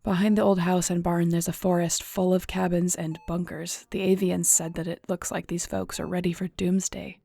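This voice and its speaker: A woman's voice: lowish voice, little pitch movement, normal speed, creaky, few pauses, mellow. A woman acting in an audio roleplay as a weary, guilt-ridden shifter investigator, speaking in a somber, conversational, confiding way. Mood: worried, heavy.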